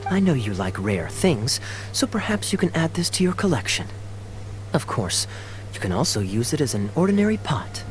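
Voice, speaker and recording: A man's voice: medium pitch, lightly acted. Man acting suave, lilting young knight, music behind. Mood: breezy, charming. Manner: smooth, playful, theatrically eloquent. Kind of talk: polished video game character acting. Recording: game dialogue over background music.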